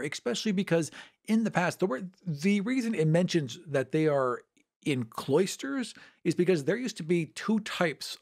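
A man with a voice a little on the high side discusses fantasy game lore on a podcast. His delivery is conversational, with emphatic stresses on his points. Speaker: podcast panelist. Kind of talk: casual animated discussion of tabletop game lore.

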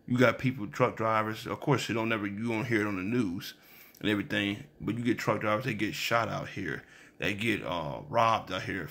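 A man's voice: lowish voice, vocal fry, a bit bright. An American man in his thirties talking casually and bluntly to a phone at arm's length indoors, repeating points for emphasis. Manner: blunt, swearing, repetitive emphatic advice. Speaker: truck driver giving advice. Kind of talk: casual vlog monologue. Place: small indoor room, plain wall.

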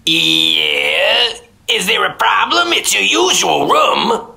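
A man's voice: sits high, very animated, slow, gravelly, sharp tone, heavily acted. An actor playing a chatty, over-friendly, pushy male motel clerk in a radio drama, with an effusive, folksy manner. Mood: jovial, pushy.

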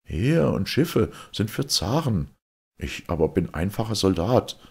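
A man's voice: on the low side, sing-song, a little slow, creaky, husky, voice pushed up, heavily acted. A man voicing a shrewd, plain-spoken young soldier in a fairy tale, bold and firm.

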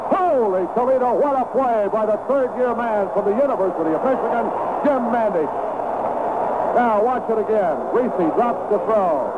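An American man with a fairly high voice calls football play by play in an excitable, vivid style. It comes through a thin, narrow old radio broadcast, swamped in loud noise. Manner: excitable, vivid descriptive phrasing. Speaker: play-by-play sports announcer. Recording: tinny, very noisy 1970s radio broadcast.

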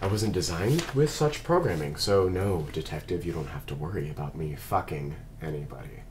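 A man reading the part of a formal, reserved android detective partner in a measured, articulate way at a drama table read. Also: low voice, close to an everyday voice. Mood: earnest, hesitant. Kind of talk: script read-through of a sci-fi drama. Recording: background noise of a group room.

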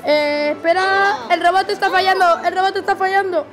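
A child of about ten playing an excited battle commentator in a homemade cartoon, repeating phrases. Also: extremely high pitch, a little fast. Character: excited live commentator on a giant monster battle. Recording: music and chatter behind voice.

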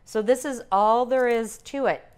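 An older woman calmly demonstrating recipes step by step as a cooking instructor in a teaching kitchen. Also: a little high, measured pace, clean tone. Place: home-style teaching kitchen.

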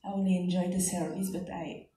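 A woman's voice: lowish voice, little pitch movement, moderate pace, dark tone. A woman with a French accent, informally introducing herself as a church visitor. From France. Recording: echoey hall, distant, faint noise.

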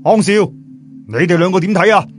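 Male reader as a polite boss hosting a contest, formal, projected higher voice, audiobook. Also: a little fast, soft background music, lightly acted. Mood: courteous, announcing.

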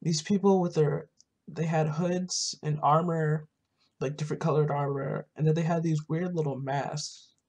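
A gamer playing a weary, hesitant adventurer in a video game roleplay, speaking haltingly. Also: medium pitch, fairly even intonation, darkish tone. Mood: uneasy. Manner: slow, halting.

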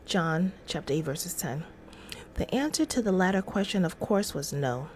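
A woman's voice: on the low side, expressive, moderate pace, darkish tone, a little hiss or hum. A middle-aged woman hosting a casual devotional livestream, reading aloud and adding informal, chatty asides. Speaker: livestream host. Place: small indoor room at home.

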